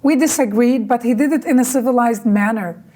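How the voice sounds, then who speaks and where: A woman from Lebanon who speaks English as a second language, her first being Arabic, talking forcefully and indignantly in an interview, stressing her points through lists. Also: a little high, fairly quick. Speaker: guest. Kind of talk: interview conversation.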